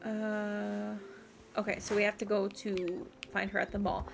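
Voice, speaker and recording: A woman's voice: mid-range voice, little pitch movement, unhurried, vocal fry, over music. A woman livestreaming a game, chatting and rambling casually and reading things aloud as she plays. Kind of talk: casual stream chat and game commentary.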